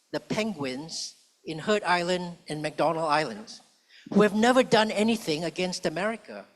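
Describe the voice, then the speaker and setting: A man speaking as a public policy professor on a panel, measured and rhetorical, slowing down to stress key points. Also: a little high, fairly bright tone. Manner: measured, rhetorical, slows for emphasis. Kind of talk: panel talk. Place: conference hall.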